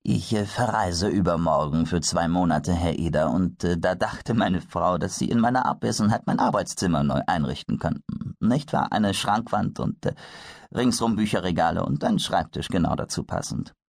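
A man voicing an elderly professor in a storybook reading, halting and full of hesitations. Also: sits low, creaky, no room sound. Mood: polite.

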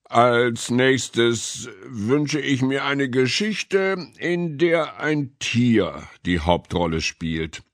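A man's voice: mid-range voice, expressive, extremely slow, few pauses, no echo, clean studio sound, pitched above the performer's own voice. Man voicing a self-satisfied, drawling storybook cat. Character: amused cat listener with a fine humour. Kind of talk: children's storybook reading.

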